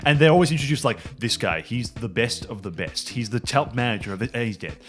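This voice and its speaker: A man's voice: medium pitch, fast. A man who runs the tabletop game, chatting casually and animatedly with his players, acting out little quotes as he talks. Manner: animated storyteller, acts out quotes. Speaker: game master and show creator. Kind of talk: casual group chat.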